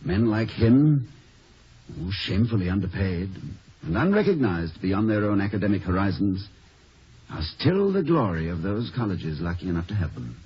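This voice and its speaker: An actor playing an urbane middle-aged college president, wordy and dryly witty, in a 1950s radio comedy. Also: male voice, fairly low pitch, big pitch swings, normal speed. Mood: earnest. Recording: dull, hissy 1950 radio recording.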